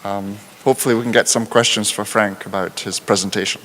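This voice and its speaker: A man's voice: fairly low pitch, steady tone, a little fast, fairly bright tone, a little hiss or hum. Man hosting a session in a reverberant hall. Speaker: session host introducing speakers. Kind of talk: conference announcements, unscripted.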